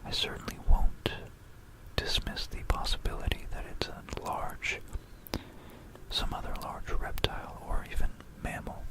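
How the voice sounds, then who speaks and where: An ASMR creator whispering about history in a hushed, rambling, musing way, close into a stereo microphone. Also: unhurried, poor audio. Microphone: close stereo binaural microphone.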